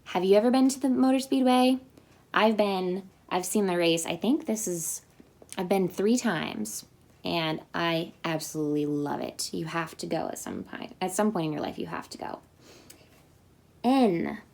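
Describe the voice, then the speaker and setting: Young woman reading an alphabet book to children, unhurried, friendly, chatty asides. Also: fairly low pitch, gravelly, a little mellow. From Indiana. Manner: slow, cheerful storytime reading with chatty asides. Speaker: teacher reading aloud.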